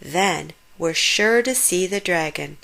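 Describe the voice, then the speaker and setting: A woman's voice: fairly low pitch, expressive, slow, gravelly, fairly bright tone, exaggerated voice. A woman voicing an adventurous, hearty old grandfather in a storybook, with a theatrical, emphatic manner. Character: adventurous, hearty old grandfather, confidently oblivious, fond of tales. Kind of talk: storybook character voice.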